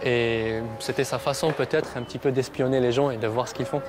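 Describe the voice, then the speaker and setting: A man's voice: mid-range voice, steady tone, brisk, music in the background. Man guiding a tour, explaining history. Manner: explanatory, storytelling. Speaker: tour guide.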